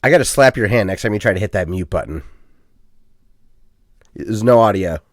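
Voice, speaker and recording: Man, podcast host, casual chat, lively pitch, filler-heavy rambling, dry sound. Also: moderate pace, dry recording. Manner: rambling, lots of ums and uhs; self-deprecating, chatty.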